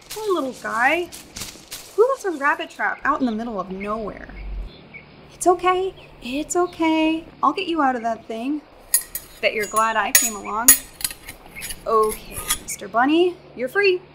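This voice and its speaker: A woman's voice: sits high, animated, measured pace, pauses often, fairly bright tone, slight background noise. A woman playing a young American tourist in a radio play, earnest, wry and self-deprecating, with an American accent. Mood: alarmed, then soothing. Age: young adult.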